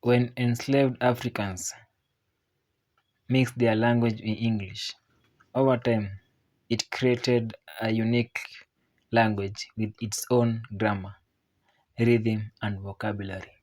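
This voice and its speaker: A narrator delivers a scripted explainer at a medium pitch. The reading is measured and informative in style, set out in clear statements. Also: little pitch movement, a little mellow, rough recording.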